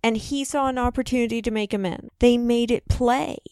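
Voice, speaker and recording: A woman's voice: a little high, steady tone, brisk, darkish tone, clear, resonant tone, no room sound, studio quality. A woman co-hosting a podcast, talking casually about a TV series in a conversational way. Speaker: podcast co-host.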